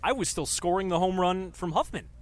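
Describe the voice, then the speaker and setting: A man's voice: sits high, brisk. A man in his twenties working as a sports announcer, delivering reporting and live baseball play-by-play commentary. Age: 20s.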